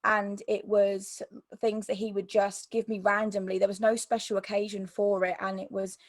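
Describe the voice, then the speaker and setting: Woman guest, croaky voice, halting delivery, dry close mic. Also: medium pitch, level tone, dry recording. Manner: hesitant, many ums and restarts. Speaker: interview guest, mental health YouTuber. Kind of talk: personal story in conversation.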